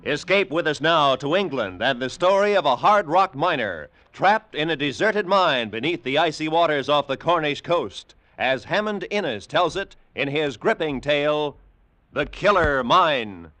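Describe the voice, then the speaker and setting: A man's voice: fairly high pitch, lively intonation, measured pace, sharp tone, faint music behind. A man announcing an old-time radio drama in a formal, dramatic, scripted style.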